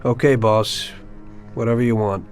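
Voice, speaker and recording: A man's voice: mid-range voice, steady tone, normal speed, close to an everyday voice. Man playing a terse, loyal mafia henchman. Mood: resigned, obedient. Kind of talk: dramatic video game cutscene acting. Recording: music behind the voice.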